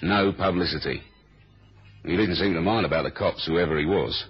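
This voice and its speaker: An actor playing a tough, laconic secret agent in a 1970s radio drama, with slangy, matter-of-fact lines and cool self-assurance. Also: male voice, low-pitched, normal speed, breathy edge, faint music behind. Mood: world-weary, dry. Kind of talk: 1970s radio drama acting and first-person narration. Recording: muffled 1970s radio broadcast recording.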